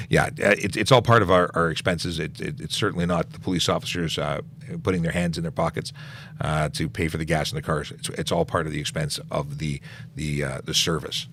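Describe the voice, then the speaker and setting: Deep-voiced police officer hosting a live Q&A. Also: male voice, steady tone, brisk, a bit bright, a little hiss or hum. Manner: reads out viewer questions, then answers with restarts and fillers. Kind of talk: casual livestream Q&A.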